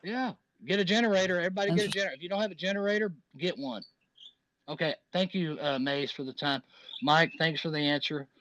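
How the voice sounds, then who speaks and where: A man's voice: a little high, fairly even intonation, fast, a bit bright, a little hiss or hum. A man in his fifties talking at length on a live audio chat, rambling and emphatic, with restarts. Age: 50s. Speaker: caller. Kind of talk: casual chat. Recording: dull lo-fi audio.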